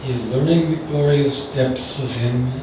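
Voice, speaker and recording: Man reciting poetry slowly in an echoey hall. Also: medium pitch. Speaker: congregation member reading poetry. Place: large echoey indoor room at a church service, mic at a distance. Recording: distant, echoey hall with clear noise.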